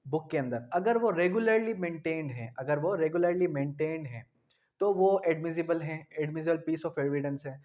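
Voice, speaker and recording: Slow, deliberate Pakistani male law lecturer. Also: a little high, darkish tone. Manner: didactic, repeats key phrases for emphasis. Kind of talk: explanatory lecture, reading out legal articles and explaining them. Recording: dull, lo-fi audio.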